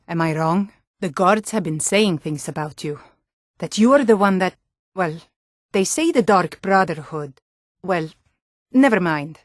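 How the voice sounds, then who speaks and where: A woman's voice: on the low side, no room sound, studio quality, close to an everyday voice. A woman playing an earnest, hardy Nord townswoman and guard, delivering short, weighty lines for a fantasy video game. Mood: troubled.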